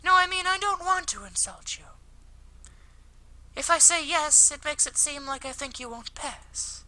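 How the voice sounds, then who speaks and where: A man voicing a kind, earnest high school girl, politely apologetic and gently teasing, in a visual novel. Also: lively intonation, measured pace, heavily acted, low-quality recording. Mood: earnest, encouraging. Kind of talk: visual novel character read aloud.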